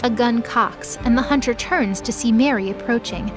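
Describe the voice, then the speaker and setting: A woman's voice: a little high, moderate pace, over music. A woman giving scripted, dramatic narration as the narrator of an audio drama.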